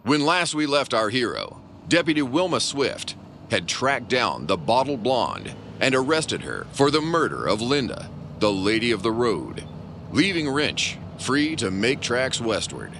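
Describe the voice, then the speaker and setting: An actor playing a grandiose serial announcer, narrating melodramatically with theatrical pauses. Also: male voice, mid-range voice, big pitch swings, background noise. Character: bombastic male serial adventure announcer. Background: music.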